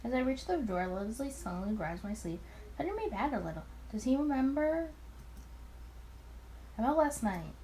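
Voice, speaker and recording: Girl reading as nervous lovestruck detective guy, visual novel narration, highish voice, bit noisy. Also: very animated, moderate pace, long pauses, warm tone. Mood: hesitant, tender. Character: young male detective narrator, anxious, smitten, self-doubting. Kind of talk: first-person visual novel read-aloud.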